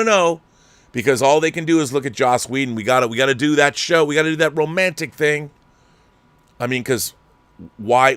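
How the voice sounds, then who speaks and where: Middle-aged male host, exasperated sarcastic rant, mid pitch, dry sound. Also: no echo. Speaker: show host. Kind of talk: ranting commentary monologue.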